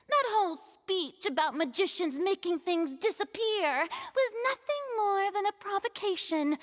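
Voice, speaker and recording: A theatrical young noblewoman for an anime game. She declaims slowly and grandly, with big swings in pitch, boastful yet easily flustered. Her voice rings in a long hall reverb. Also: fairly bright tone, exaggerated voice. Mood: smug, accusing. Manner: grandiose, dramatic delivery. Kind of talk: anime-style video game acting.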